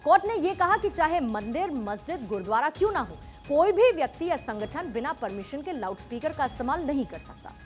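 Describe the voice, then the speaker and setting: High-pitched woman presenting TV news. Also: sing-song, fairly quick, few pauses, faint music behind. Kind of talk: scripted Hindi TV news explainer narration.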